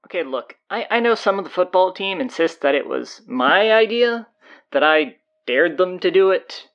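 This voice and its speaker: Woman playing low-voiced, sardonic, bossy schoolgirl, very animated. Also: moderate pace, highs cut off. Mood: brisk, sardonic. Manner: blunt, exasperated, sarcastic. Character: bossy, sardonic teenage high school girl taking over announcements. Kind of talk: audio drama, casual school announcements.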